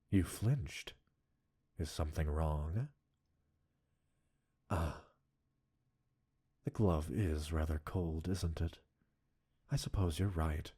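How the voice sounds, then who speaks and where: Slow, very low, menacing mad scientist played by a man. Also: mellow, breathy edge, exaggerated voice. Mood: teasing, clinical. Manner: slow, deliberate, dominant, teasing. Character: obsessive, menacing mad-scientist captor, coolly possessive and seductive. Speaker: voice actor in a roleplay audio. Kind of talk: intimate scripted roleplay addressed to listener.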